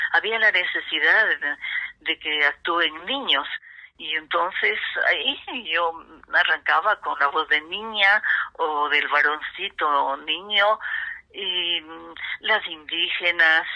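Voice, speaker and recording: An older woman with an Ecuadorian accent reminisces in an interview as a radio actress, pausing between phrases. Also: sits low, pushed and bright. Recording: dull, narrow-band sound.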